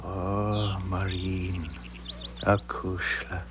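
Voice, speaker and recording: A man's voice: low-pitched, level tone, extremely slow. A man playing a passionate poor young Irish lover, tender and defiant, with an Irish accent, in a 1970s radio drama. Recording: muffled, noisy 1970s radio broadcast.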